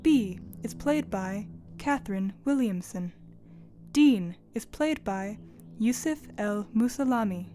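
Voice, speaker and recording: Actress as formal guide, slow, soft-toned, measured, calm, scripted announcement reading. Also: female voice, mid-range voice, faint music behind.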